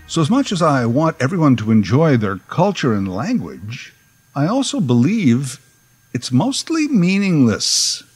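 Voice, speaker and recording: A middle-aged man delivers a dry, sardonic monologue, his pitch rising and falling in wide swings. Faint music sits under his voice. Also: wildly swooping pitch, unhurried, husky. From Canada. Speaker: podcast host. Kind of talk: personal monologue podcast.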